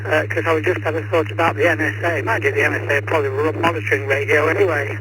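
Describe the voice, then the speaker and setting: A man's voice: on the high side, fairly even intonation, racing. A man talking casually as an amateur radio operator, musing aloud in a tentative way. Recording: noisy radio transmission with hum. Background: clear radio noise and hum.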